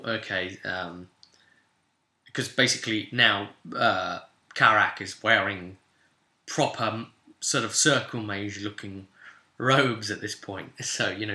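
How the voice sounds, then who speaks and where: A British man recapping a tabletop role-play session as game master in a chatty, cheeky, animated storytelling style, with casual slang. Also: lowish voice. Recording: roomy, echoing room sound.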